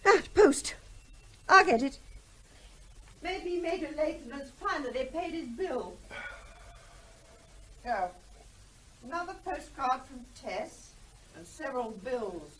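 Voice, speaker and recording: An actress playing a brisk, nagging middle-aged wife and mother in a radio drama, bossy, practical and pointed. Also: sits high, animated, fairly bright tone, slight background noise, heavily acted, poor audio. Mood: brisk, curious. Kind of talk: naturalistic radio drama acting.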